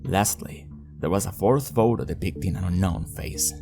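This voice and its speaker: A man with a deep, low voice narrates a horror series as host of a review video. His delivery is scripted storytelling with casual commentary mixed in, over faint background music. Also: expressive, normal speed, gravelly.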